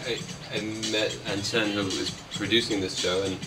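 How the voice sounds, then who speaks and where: A man chatting casually and haltingly in an interview as the creator of a puppet show. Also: lowish voice, steady tone. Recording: distant mic, music in background.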